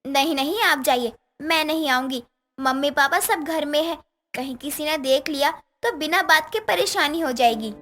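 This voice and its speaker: A woman's voice: high voice, normal speed, pushed and bright. A woman playing a coy, playful young bride in a story cartoon. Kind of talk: Hindi story cartoon dialogue. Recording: music under voice.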